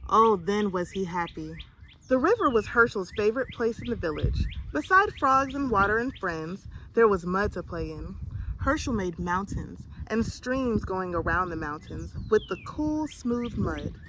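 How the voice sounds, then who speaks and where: A woman reading a children's picture book aloud in an expressive storytelling style. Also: mid-range voice, a little slow, slight background noise, low-quality recording. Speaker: storybook reader.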